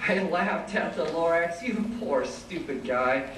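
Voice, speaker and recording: A man playing a secretive, nostalgic old hermit who tells his tale in rhyming stage verse with theatrical, drawn-out delivery. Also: on the high side, fairly bright tone. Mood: boastful, dismissive. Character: secretive old male hermit creature, nostalgic, boastful, wheedling storyteller. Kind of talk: readers theater verse performance. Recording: roomy, distant, faint noise.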